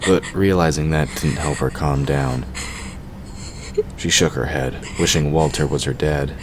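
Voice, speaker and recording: Male fantasy narrator, extremely low voice, scripted storytelling read, noticeable background noise. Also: fairly even intonation, a little slow.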